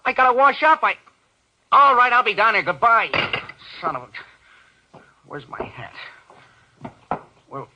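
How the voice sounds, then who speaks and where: A man's voice: very high voice, big pitch swings, measured pace, pauses often, slight background noise, heavily acted. An actor playing a stubborn, reckless, hard-drinking man in a 1940s radio drama, blustering and muttering in broken phrases on a muffled old recording. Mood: flustered.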